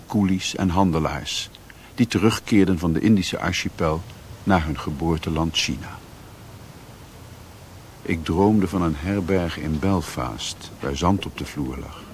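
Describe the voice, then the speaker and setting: A reflective, yearning storyteller, with a deep voice. He narrates a literary sea tale as radio drama. There is light noise on the old recording. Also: measured pace, a little mellow. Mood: brooding.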